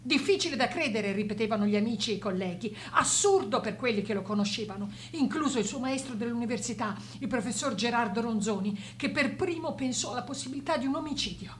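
A woman's voice: fairly high pitch, expressive, fast talker, hardly any pauses, a little hiss or hum, close to an everyday voice. An actress playing an older grieving mother who refuses to believe, in a pained, dramatic radio drama monologue. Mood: pained, indignant. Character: grieving, unbelieving mother of a dead doctor.

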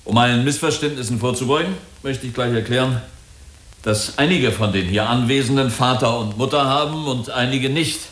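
An actor playing a glib company representative in a German radio drama, polite, wordy and officious. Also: male voice, medium pitch, moderate pace, fairly bright tone, close to an everyday voice. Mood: jovial, officious. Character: glib, jovial, evasive male company representative. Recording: roomy, echoing studio scene.

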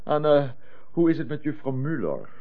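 An actor playing a blustering, impatient count in a radio play, theatrical, with exclamations and hemming. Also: male voice, a little high, big pitch swings, normal speed. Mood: puzzled. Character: blustering, impatient count, jovial master of the castle. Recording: dull lo-fi old radio recording.

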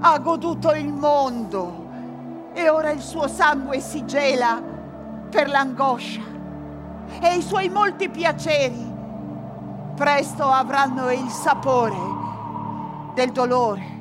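A woman playing a solemn, foreboding chorus narrator, telling a radio tragedy in deliberate, portentous phrases. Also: high-pitched. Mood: ominous. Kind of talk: dramatic scripted narration, radio play. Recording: prominent music under the voice.

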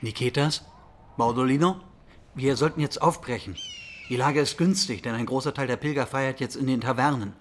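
An actor playing a practical, cautious companion in a German radio drama. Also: male voice, medium pitch, normal speed, slight background noise, lightly acted. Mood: calm, cautious. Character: practical, cautious male companion planning a departure.

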